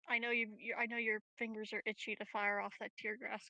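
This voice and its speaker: Bright, creaky-voiced woman. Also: a little high, little pitch movement, moderate pace, dry recording, low-quality recording. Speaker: tabletop game player. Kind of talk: casual game chat.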